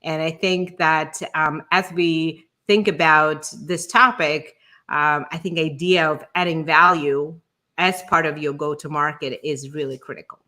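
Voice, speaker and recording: A woman's voice: deep voice, steady tone, a little slow, bright, forceful tone. A woman co-hosting a live stream, giving conversational startup advice as a founder. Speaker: show co-host and startup founder.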